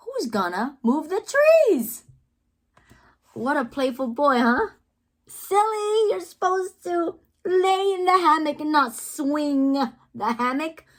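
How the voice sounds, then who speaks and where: A woman reading children's poetry aloud with theatrical, deliberate phrasing and playful emphasis, adding asides as the reader. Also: high-pitched. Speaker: read-aloud host, teacher-like reader.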